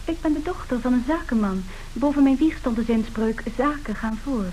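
A woman's voice: high-pitched, normal speed, a little mellow, lightly acted, rough recording. An actress playing a bold, businesslike young woman from a wealthy family, pert and self-assured, in a radio play. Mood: businesslike, determined. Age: young adult. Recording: hissy old radio drama recording.

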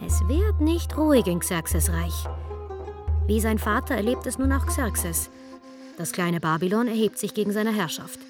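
A woman narrating a German radio drama as a scripted story, in a measured storytelling manner. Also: mid-range voice, expressive, natural voice. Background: music.

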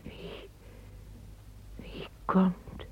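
A man in a radio play speaking in a hushed whisper as a frightened hidden figure. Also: very slow. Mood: anxious. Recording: dull old radio recording.

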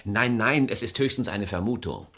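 An actor playing an anxious, cautious husband and doubting confidant in a German radio crime play, hesitant and earnest. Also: male voice, on the low side, normal speed, noisy background, close to an everyday voice. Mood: worried, cautioning.

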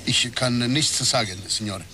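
A man's voice: medium pitch, moderate pace, lightly acted. Man playing a guarded Italian barkeeper over noise. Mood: guarded, evasive. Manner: evasive, polite, terse. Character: guarded, courteous Italian bar and restaurant owner. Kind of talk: radio crime drama dialogue.

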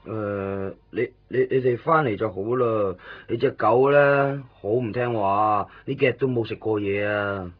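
A man's voice: fairly low pitch, unhurried, hardly any pauses, husky. A man acting a fussy, caring, chatty neighbour in a radio drama, with a Hong Kong Cantonese accent, stammering repeats and exclaiming as he goes. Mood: fussy, complaining. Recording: dull lo-fi radio recording, music behind.

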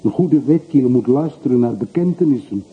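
A man's voice: mid-range voice, expressive, moderate pace, breathy edge. Brooding middle-aged man, thin old recording. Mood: brooding, regretful. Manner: brooding, confessional, short clipped sentences. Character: wistful middle-aged man of forty-three, wealthy returned emigrant. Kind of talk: radio play acting. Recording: muffled phone-like old radio recording, hissy.

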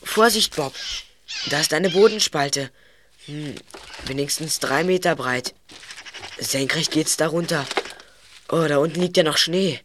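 A woman's voice: sits low, a little slow, pauses often. A woman playing an alert, curious boy detective in an audio drama, with an inquisitive, musing manner. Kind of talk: amateur audio drama acting. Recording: noisy, with crow caws and clicks.

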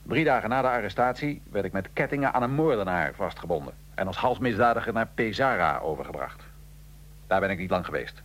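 A man playing a condemned Italian revolutionary in a radio drama, recounting his life in a measured, dry, ironic way. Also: on the low side, lively intonation, fairly bright tone, slight background noise. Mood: matter-of-fact. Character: Italian male revolutionary prisoner, condemned, dryly matter-of-fact. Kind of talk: radio drama acting, interview-style storytelling.

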